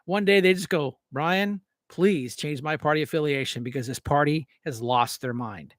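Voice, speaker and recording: A man's voice: fairly high pitch, normal speed, a bit bright, no echo, clean studio sound. An American man giving an informal, off-the-cuff political rant as a host, blunt, combative and folksy, repeating phrases for emphasis. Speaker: talk show host and local political candidate.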